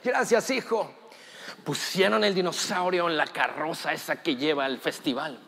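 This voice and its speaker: Man, animated comic storyteller, narrates with laughs; fairly high voice. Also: normal speed, gravelly, long reverb tail. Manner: animated, laughing, takes audience suggestions. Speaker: improv storyteller. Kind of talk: improvised comedy storytelling.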